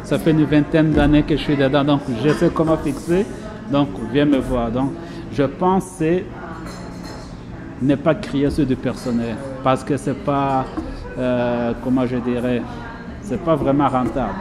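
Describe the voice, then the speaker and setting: A man's voice: mid-range voice, fairly even intonation, a little slow. Frenchman giving a testimonial over music. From France. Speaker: pastry chef and business owner being interviewed. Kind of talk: casual personal testimonial.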